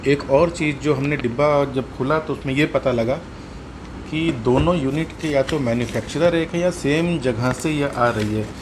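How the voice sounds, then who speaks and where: A man's voice: medium pitch, moderate pace, noisy background. A man with an Indian accent explaining a hands-on product demonstration step by step, repeating his points. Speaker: host of a motorcycle gear tutorial.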